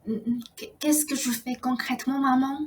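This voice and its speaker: A flustered young daughter from a fairy-tale radio play, acted by a woman. She has a very high, childlike voice and pleads insistently in halting phrases. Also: fairly even intonation. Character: hesitant, flustered young daughter calling on her mother. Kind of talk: theatrical radio play acting.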